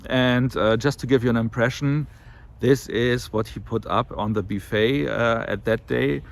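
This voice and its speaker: A man's voice: mid-range voice, steady tone, unhurried, vocal fry. A man talking casually to camera in a weight loss vlog, slightly nasal from an allergy and rambling with many fillers and self-corrections. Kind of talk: casual monthly vlog talk.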